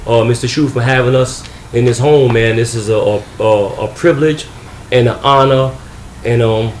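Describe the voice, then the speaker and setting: A man interviewing a guest in the guest's home, speaking earnestly with many pauses and fillers. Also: mid-range voice, fairly even intonation, reverberant, slight background noise. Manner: measured, filler-heavy, earnest. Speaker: interviewer, magazine publisher. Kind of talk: interview hosting.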